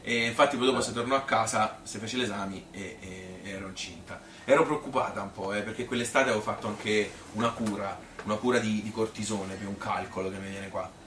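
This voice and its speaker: In a roomy, distant and slightly noisy recording, a man plays a Tuscan mother looking back on married life in a theatrical monologue. The voice is on the low side, and the talk is colloquial, hesitant and wandering, often questioning itself. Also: fairly even intonation, fairly quick, a bit bright, natural voice. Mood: worried, reminiscing. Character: plain-spoken Tuscan mother reminiscing about marriage and pregnancy.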